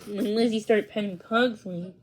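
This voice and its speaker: A woman reading a children's story aloud, a little haltingly, now and then repeating a word. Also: mid-range voice, fairly even intonation. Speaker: storybook reader.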